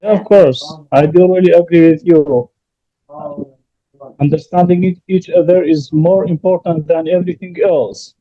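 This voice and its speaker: A man's voice: a little high, fairly even intonation, moderate pace, no room sound, muffled recording. A man, an English teacher, giving emphatic advice to learners in an interview, repeating his key points.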